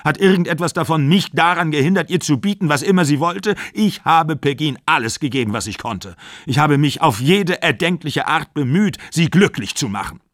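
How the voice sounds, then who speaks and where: A man's voice: medium pitch, lively intonation, moderate pace, no room sound, studio quality. A man voicing a furious, bitter aging actor of sixty-five who rants and accuses. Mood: accusing. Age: 60s. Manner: ranting, accusing, shouting.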